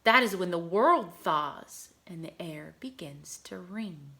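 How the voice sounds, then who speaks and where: Expressive woman teacher reading to children. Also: lowish voice, slow pace, vocal fry. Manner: expressive, with questions put to young listeners. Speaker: kindergarten teacher reading to pupils. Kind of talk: read-aloud lesson for young children, explaining and reciting poems.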